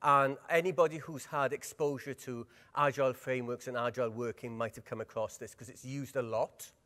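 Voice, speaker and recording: A middle-aged man with a Welsh accent running a workshop at a conference, lively and engaging, putting questions to the audience. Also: mid-range voice. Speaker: workshop presenter. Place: large conference hall. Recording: long hall reverb.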